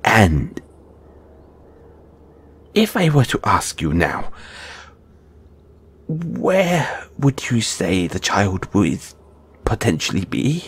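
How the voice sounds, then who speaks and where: A man's voice: lowish voice, very animated, drawn-out words, long pauses, breathy edge, heavily acted. A man acting a squeamish, timid gargoyle handler in an audio roleplay, stammering and speaking with nervous, formal politeness. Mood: apologetic, nervous.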